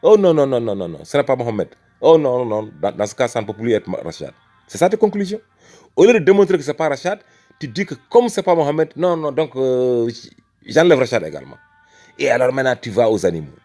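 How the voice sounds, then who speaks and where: Emphatic man with a lively rising voice. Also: medium pitch, brisk, soft background music. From Senegal. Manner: emphatic, rhetorical, repeats phrases and addresses the listener as brother. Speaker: religious speaker. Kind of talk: impassioned religious argument.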